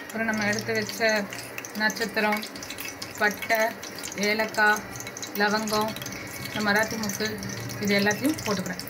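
A woman hosting a home cooking video, calmly walking viewers through a recipe step by step in her kitchen. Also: mid-range voice. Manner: unhurried step-by-step instructions, addressing viewers directly. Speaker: cooking channel host. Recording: noisy home recording.

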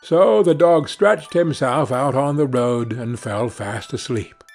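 A man narrating a fairy tale as a scripted audiobook, in a measured, expressive storytelling manner. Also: medium pitch, creaky, faint music behind.

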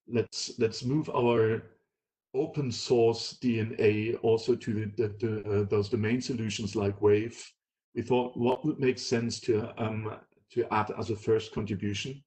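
A man's voice: medium pitch, steady tone, a little slow, warm tone, poor audio. A man presenting informally on technical material, often repeating and rephrasing himself as he goes. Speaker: presenter at a fintech meetup.

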